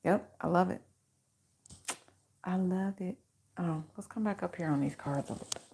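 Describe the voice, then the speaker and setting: A woman with a naturally low, deeper-set voice hosts a casual livestream card reading. She talks in a chatty, reassuring way, stringing affirmations together into her microphone. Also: moderate pace, vocal fry, mellow. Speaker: tarot reader and channel host.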